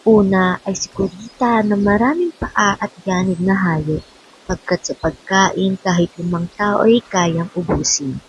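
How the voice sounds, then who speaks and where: A woman's voice: medium pitch, slight background noise. A woman narrating an epic poem in scripted, dramatic radio style on a lo-fi recording. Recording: dull lo-fi recording. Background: faint music.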